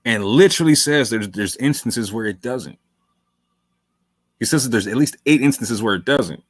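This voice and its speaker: A man's voice: medium pitch, expressive, moderate pace, no room sound, studio quality. A male talk show host giving long, emphatic commentary late in a livestream. He repeats phrases for stress, calls listeners fam, and sounds worn from hours on air.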